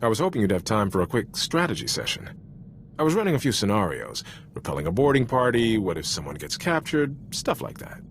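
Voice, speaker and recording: A man's voice: on the low side, sing-song, fairly quick, slight background noise. A man playing an earnest, loyal Republic soldier in a video game, speaking frankly in an informal, sincere military manner. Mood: casual, practical. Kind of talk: cinematic video game voice acting.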